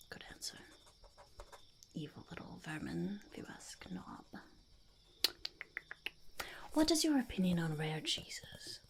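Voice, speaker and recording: A woman voicing an eccentric, self-important goblin in a whispered ASMR roleplay, breathy with creaky edges, fussy, hesitant and repetitive. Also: fairly low pitch, heavily acted. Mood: inquisitive, fussy. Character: eccentric, self-important goblin overlord interviewing a would-be sidekick.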